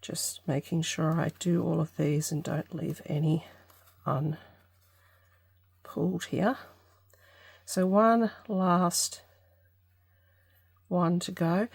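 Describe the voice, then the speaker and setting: A woman hosting a casual craft vlog, congested from an allergy, talking through her work in an unhurried, tentative way as she thinks aloud. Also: fairly low pitch, gravelly, darkish tone, no echo. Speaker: craft channel host.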